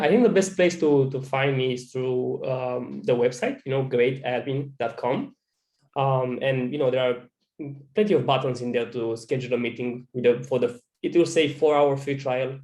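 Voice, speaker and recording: A man's voice: medium pitch, a little mellow. A man with a Romanian accent, a business owner answering interview questions over a video call, casual and earnest, with a lot of "you know". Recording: roomy video call audio.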